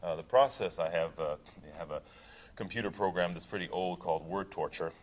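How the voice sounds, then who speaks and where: A middle-aged male opera singer talking in a relaxed interview, thoughtful, with many fillers and restarts. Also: low-pitched, a little fast, a little hiss or hum. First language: English. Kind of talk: casual interview talk.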